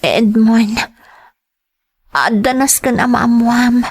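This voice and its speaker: A woman's voice: on the high side, slow pace, slightly rough. A woman playing an apologetic, emotional young sweetheart in a dramatized audio story. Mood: hesitant.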